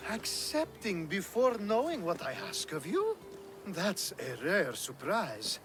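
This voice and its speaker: Theatrical, high-voiced flirtatious charmer. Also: male voice, huge pitch swings, full character voice. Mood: dreamy, charming. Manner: theatrical, drawn-out, teasing delivery. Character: flamboyant, flirtatious Greek charmer, lovestruck groom-to-be. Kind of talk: video game cutscene acting.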